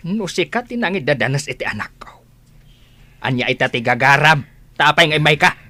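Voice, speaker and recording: A woman acts out a hot-tempered, protective village father in a radio comedy drama. The voice is forceful and animated, jumping in pitch as he scolds and demands answers. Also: fairly quick. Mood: stern, demanding. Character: gruff, hot-tempered village father guarding his pregnant daughter.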